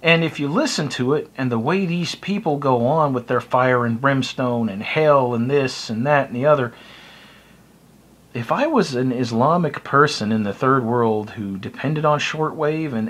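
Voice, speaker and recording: A middle-aged man with an American accent speaks in a mid-pitched voice, close to the device in a small room. He delivers a casual, opinionated monologue. His manner is blunt and wry. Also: fairly bright tone. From United States. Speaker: hobbyist video blogger. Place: small indoor room by a window. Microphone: phone or webcam close to the face.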